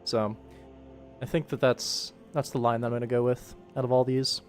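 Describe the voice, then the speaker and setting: A man with a medium-pitched voice chats casually on a work stream. He muses aloud like a director sorting through takes, with game music playing softly in the background. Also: little pitch movement, normal speed. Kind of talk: casual livestream commentary while working.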